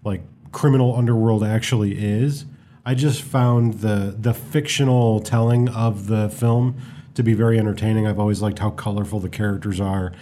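A man's voice: on the low side, fairly even intonation, normal speed, dark tone. An American man in a casual film discussion as a co-host, explaining things in a hesitant, rambling way. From United States.

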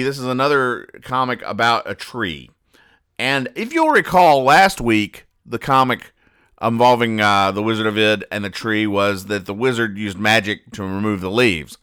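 A man hosting a podcast in a casual recap style, with a deadpan, dryly sarcastic delivery. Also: lowish voice, smooth. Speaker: podcast host. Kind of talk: casual comic-strip recap monologue.

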